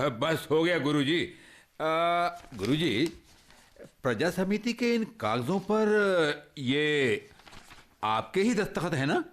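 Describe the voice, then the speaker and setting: Radio play police officer: unhurried, hesitant, regretful, highly varied pitch. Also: male voice, very animated, fairly bright tone, heavily acted. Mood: official, regretful. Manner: halting, apologetic. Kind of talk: radio drama acting.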